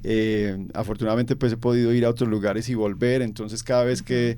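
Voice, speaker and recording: A man's voice: mid-range voice, flat delivery, fairly quick, mellow, smooth, no echo. A young Colombian man with a paisa accent, giving thoughtful interview answers full of fillers and repeated words. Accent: Colombian paisa Spanish. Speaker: interview guest. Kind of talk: reflective conversational interview answers.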